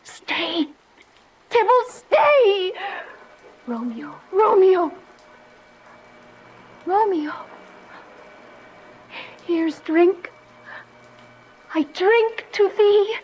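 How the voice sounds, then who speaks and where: A high-pitched young girl bride from Renaissance tragedy, played by a woman. She speaks archaic verse with earnest, anguished devotion, in the dramatic style of classical radio theatre. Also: big pitch swings, extremely slow, pauses often, darkish tone, muffled recording, full character voice. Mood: frantic dread.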